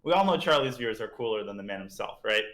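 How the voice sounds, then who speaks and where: A man's voice: on the low side, animated, fast talker, fairly bright tone, roomy sound. Chatty man with a slightly parched throat. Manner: chatty, explains moves while thinking aloud. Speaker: chess streamer teaching a guest. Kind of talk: casual live-stream chess lesson.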